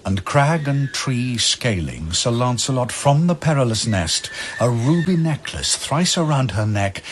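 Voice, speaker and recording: A man with a fairly low voice narrates archaic verse dramatically. His delivery is slow and declamatory, with big swings in pitch, over faint ambience. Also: slight background noise.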